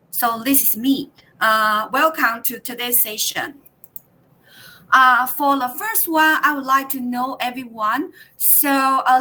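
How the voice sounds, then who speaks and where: Bright-voiced Taiwanese woman teacher trainer. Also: on the high side. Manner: halting, with many fillers and restarts. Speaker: teacher trainer leading a workshop. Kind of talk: online workshop presentation.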